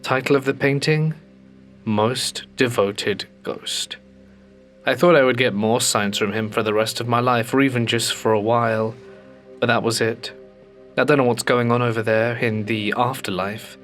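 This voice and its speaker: Man, ghost story host, calm measured reading, mid-pitched voice, faint music underneath. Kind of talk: reading submitted ghost stories aloud.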